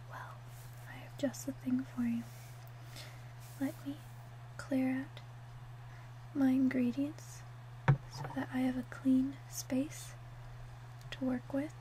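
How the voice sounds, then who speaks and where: Female ASMR roleplayer as a gentle witch shopkeeper: high, even, slow, hushed and partly whispered. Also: slight background noise, rough recording. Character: calm, helpful female witch selling love potions.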